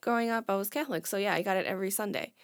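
A woman's voice: mid-range voice, racing, dry recording, clean studio sound. A woman whose first language is Spanish, chatting casually in a rambling, hesitant way, often repeating herself. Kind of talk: casual chat.

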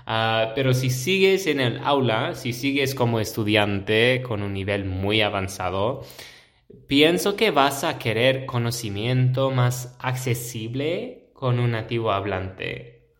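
A young American man, a native English speaker, hosting a solo educational podcast and explaining his points in a measured, reflective way. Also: medium pitch, animated, a bit bright. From United States. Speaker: podcast host.

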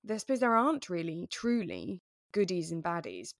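Lower-pitched woman, close dry sound. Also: wildly swooping pitch, normal speed, dry recording. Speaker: podcast co-host. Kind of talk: relaxed casual chat about a radio drama.

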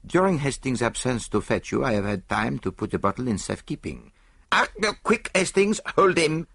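A man voicing a dapper, self-satisfied little foreign detective in an audiobook, speaking precisely and deliberately. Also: fairly low pitch, expressive, gravelly, breathy edge, no echo, studio quality, voice pushed up, full character voice. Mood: placid.

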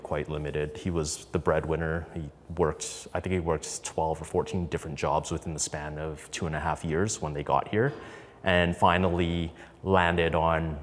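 Low-voiced man in reverberant room. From Canada, raised in Calgary from infancy. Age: 30s. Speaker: podcast guest, business co-founder. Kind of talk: candid personal storytelling in an interview.

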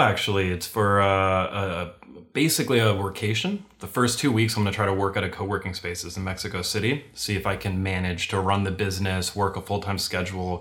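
A man who grew up in Venezuela, a podcast guest talking casually over a video call in a relaxed, rambling way. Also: deep voice. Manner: relaxed, rambling with fillers and restarts.

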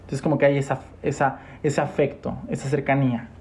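Emphatic male podcast host, mellow voice. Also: medium pitch, little pitch movement, brisk, a little hiss or hum. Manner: emphatic, addresses listeners directly. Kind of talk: podcast talk and commentary.

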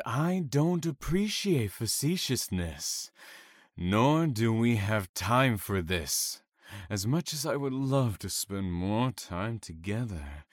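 Man acting smug killer, slow and theatrical. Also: fairly low pitch, dry recording, studio quality. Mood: mock polite. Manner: mocking, drawn-out, formal menace. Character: smug, theatrical male serial killer, coldly menacing and gloating. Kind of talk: audio drama acting.

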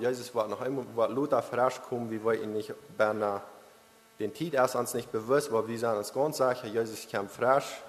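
A man giving a Christmas sermon, reading verses and explaining them haltingly, with frequent hesitations. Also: mid-range voice. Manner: halting, with many restarts and hesitations. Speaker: lay preacher. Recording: long reverb of a hall.

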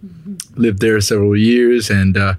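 A man from Puerto Rico in his thirties, a musician, introducing himself in a relaxed way. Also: fairly low pitch, normal speed, dry recording. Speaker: musician, guest.

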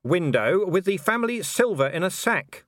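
A man's voice: high-pitched, very animated, moderate pace, a bit bright, dry recording, voice pushed up. A man voicing a weary, blunt police commander in a storybook reading, with dry, mock-innocent sarcasm. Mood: sardonic.

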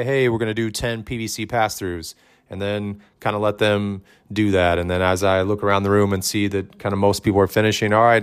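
A man in his thirties who coaches fitness classes, talking casually and loudly to new coaches, with lots of fillers. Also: fairly low pitch, clear, resonant tone. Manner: conversational, many fillers, says his voice is loud. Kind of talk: instructional podcast talk.

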